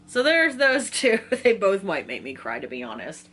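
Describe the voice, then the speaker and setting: An American woman chats informally as a book vlogger, in a medium-pitched voice. She is excitable and rambles into slangy asides. There is some noise and hum behind her. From Virginia, United States. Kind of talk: casual chatty video talk.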